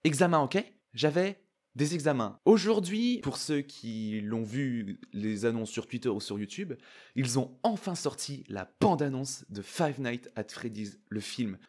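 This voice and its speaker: A man giving casual, excitable, slangy reaction commentary. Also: medium pitch, measured pace. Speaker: YouTuber reacting to and analysing a trailer.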